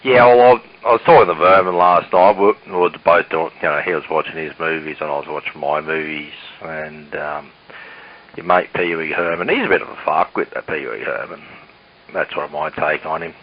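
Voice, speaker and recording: A very low-pitched man talks casually over a CB radio. He is blunt and swears freely. The transmission is muffled, with a light hiss behind it. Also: moderate pace.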